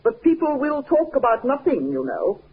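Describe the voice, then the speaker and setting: A woman's voice: sits high, big pitch swings, normal speed. A woman playing a prim, meddling, insinuating relative in a 1950s radio drama, stiff and disapproving. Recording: muffled, tinny 1950s radio recording.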